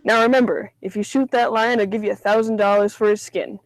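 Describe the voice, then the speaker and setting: A man's voice: sits high, level tone, brisk, dry recording, low-quality recording. Man playing a folksy, teasing game warden. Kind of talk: radio comedy sketch acting.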